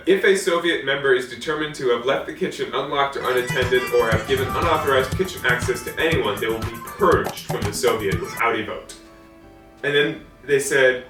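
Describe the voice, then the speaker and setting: A man giving an informal history talk, reading from old documents in a halting, amused way. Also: medium pitch, fairly bright tone. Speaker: host of a co-op history series. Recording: echoey room, distant mic, faint music.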